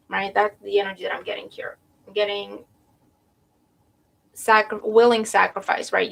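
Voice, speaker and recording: A woman's voice: medium pitch, vocal fry, a bit bright. A woman giving a live tarot reading to viewers, losing her voice with a cold, thinking aloud and often checking in with "right?". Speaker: tarot reader.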